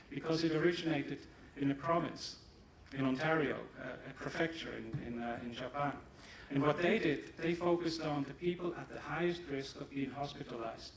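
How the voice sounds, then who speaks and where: A man whose first language is German, giving a measured, emphatic conference presentation in English in a large hall, picked up from a distance. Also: a little high, creaky. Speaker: pharma company presenter. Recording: echoey hall, distant mic, audience.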